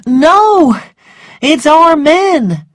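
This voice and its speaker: A woman voicing an excitable young servant girl in an audiobook, panicky and colloquial. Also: high-pitched, extremely slow, no echo, clean studio sound, pitched above the performer's own voice, heavily acted. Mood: panicked.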